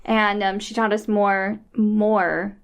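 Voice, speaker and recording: A woman co-hosts a relaxed podcast, chatting and joking with her guest. She laughs easily and tells stories in a loose, playful way. Her voice sits at an ordinary middle pitch. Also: slow. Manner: jokey, laughs often. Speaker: co-host. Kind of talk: casual podcast chat.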